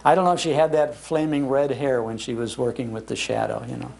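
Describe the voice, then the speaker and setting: An older American man with a Midwestern accent tells nostalgic stories to a live audience in a folksy, animated manner, repeating phrases for emphasis. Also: medium pitch, brisk, vocal fry. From Chicago, United States. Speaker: speaker giving a talk on old-time radio. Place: public library, live talk.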